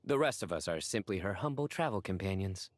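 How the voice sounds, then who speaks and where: A man voicing an earnest, theatrical joker in a video game, speaking in a measured, formal and self-satisfied way. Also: low voice, expressive. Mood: composed.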